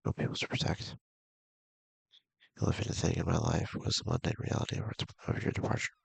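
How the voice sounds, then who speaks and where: A man with a gravelly, husky voice casually reading a visual novel aloud, halting and mumbling with offhand asides. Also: sits low, steady tone, no echo. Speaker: video game streamer.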